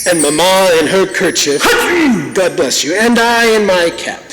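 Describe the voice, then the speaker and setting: A man's voice: high voice, sing-song, unhurried, big-room sound, over loud music, low-quality recording. A man giving a theatrical, exaggerated comic reading of a poem for a radio show on stage. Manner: dramatic, exaggerated delivery. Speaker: poem reader, performer.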